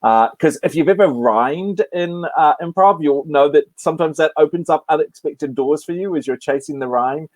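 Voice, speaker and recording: A man's voice: fairly high pitch, no echo. Eager, joking man on a dry video call. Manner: eager, self-mocking, quick to joke. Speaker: improv instructor. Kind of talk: casual interview about his class.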